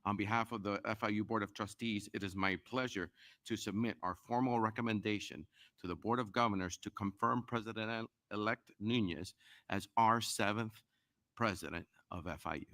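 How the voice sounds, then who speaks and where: A man chairing a university board, presenting a formal recommendation in a measured, procedural way. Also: fairly low pitch, fairly even intonation, fairly bright tone.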